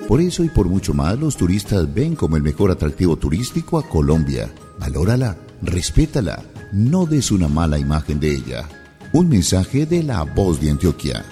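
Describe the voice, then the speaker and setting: A man with an extremely deep voice reads a radio station promo in a solemn, declaiming style. His low pitch still moves in a lively way, and music plays clearly beneath it. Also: moderate pace. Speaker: station announcer.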